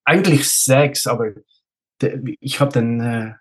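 Man, Swiss German accent, husky voice, mid pitch, halting casual storytelling, occasional English words. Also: a bit bright. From Switzerland. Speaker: interview guest, ultrarunner and race director. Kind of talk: casual chat, telling anecdotes.

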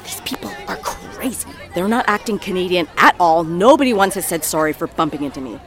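An actress playing an eager young assistant with a gushing, informal, chatty way of talking. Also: female voice, lowish voice, animated, fairly quick, faint music behind, a faint crowd, close to an everyday voice. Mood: exasperated. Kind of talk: audio drama acting.

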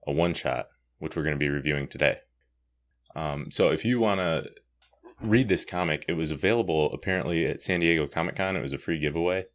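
A man hosting a podcast, talking casually through a comic book review. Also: extremely low pitch. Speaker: podcast host.

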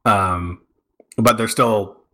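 A man's voice: on the low side, very slow, pushed and bright. A man on a casual discussion panel, explaining reflectively and rephrasing himself as he goes. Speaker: podcast panelist.

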